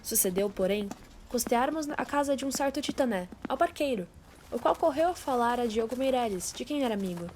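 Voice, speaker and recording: Casting: young Brazilian female narrator, scripted classic-story reading, higher-than-average voice, lightly noisy amateur recording. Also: expressive, brisk, darkish tone. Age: teenager or young adult. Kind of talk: scripted literary narration for student radio drama. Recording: faint background noise.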